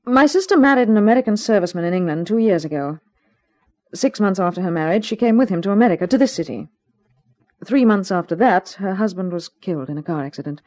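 A woman's voice: mid-range voice, expressive, fast, darkish tone, natural voice. An actress playing an anxious, well-bred Englishwoman in a 1950s radio mystery, speaking politely and earnestly with some hesitancy. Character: anxious, well-bred Englishwoman, glamorous client seeking help.

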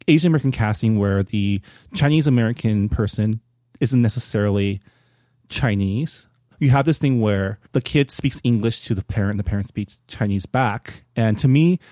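A man co-hosting a podcast, talking over a film in a relaxed, hesitant way with many pauses. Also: on the low side, no room sound, clean studio sound. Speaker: podcast co-host. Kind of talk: casual film discussion.

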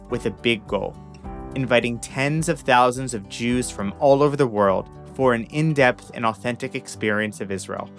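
A young man hosting a podcast, reading a scripted intro with wry, self-aware humor. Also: medium pitch. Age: young adult. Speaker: podcast host. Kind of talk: scripted narration and interview questions.

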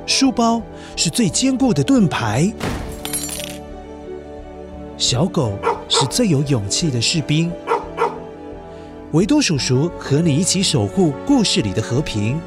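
A man narrates a children's story in an expressive, dramatic way, with exclamations and sound words. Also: a little high, slow pace, long pauses. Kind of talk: animated scripted children's storytelling. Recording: music behind the voice. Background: music.

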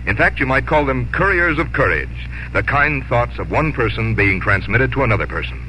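Vintage radio spokesman: man, bright crisp-edged voice, scripted sponsor delivery, faint broadcast hiss. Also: mid-range voice, lively intonation, moderate pace. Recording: faintly noisy 1950s radio recording.

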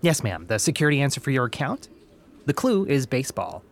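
A young male call-centre agent in an audio comedy. His mid-pitched voice moves expressively up and down, courteous and careful, patiently guiding the customer along in natural, professional phrasing. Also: very animated, a little fast, creaky. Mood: polite, patient.

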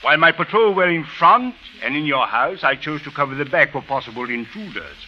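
An actor playing a dry, authoritative Egyptian police captain in an old radio drama, with formal phrasing. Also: male voice, on the high side, animated, brisk, sharp tone. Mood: commanding, dry. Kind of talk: 1940s-50s radio drama acting. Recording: muffled old radio recording, faint noise.

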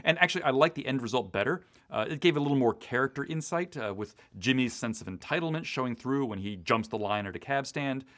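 A man's voice: mid-range voice, lively intonation, fast talker, hardly any pauses, a bit bright. A man from the US East Coast talking casually and in detail as a writer being interviewed, in an enthusiastic, digressive way. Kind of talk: casual, detailed behind-the-scenes chat.

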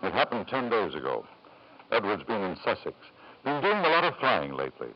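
A man's voice: on the low side, very animated. An actor playing a domineering, controlling middle-aged husband and father in 1950s radio drama style. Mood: guarded, insistent. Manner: brisk, commanding, controlling. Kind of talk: 1950s American radio acting. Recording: dull old radio broadcast.

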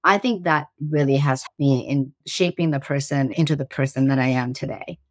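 A woman's voice: a bass voice, normal speed, dry recording, clean studio sound. A woman in her forties from Iran with a slight Iranian accent, answering interview questions earnestly and laughing easily. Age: 40s. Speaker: guest, startup CEO.

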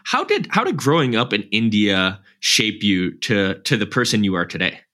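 A man's voice: on the low side, normal speed. A man co-hosting a podcast, asking the guest about his background in a casual way. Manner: lively, short reactions. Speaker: podcast co-host. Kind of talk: casual interview.

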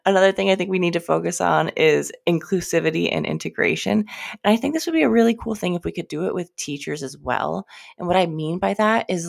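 A woman's voice: sits low, creaky. A young American woman hosting her podcast, talking casually and rambling with lots of fillers and swearing, sounding stuffy and nasal from a cold. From United States. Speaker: podcast host. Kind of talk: casual solo podcast monologue.

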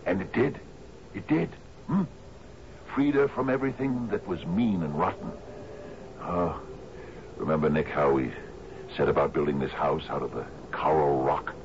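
A man's voice: a bass voice, normal speed, pauses often. An actor in a radio drama playing an expansive, dreamy sea captain in his fifties, musing theatrically with questioning little grunts. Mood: fervent nostalgia. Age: 50s. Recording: dull, noisy 1970s radio recording.

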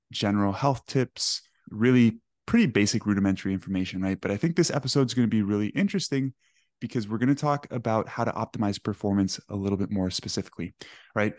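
A man's voice: fairly low pitch, dry recording, clean studio sound. A man hosting a podcast in casual chat, upbeat and often tagging "right?" onto his points. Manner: upbeat, peppers talk with "right?". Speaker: podcast host.

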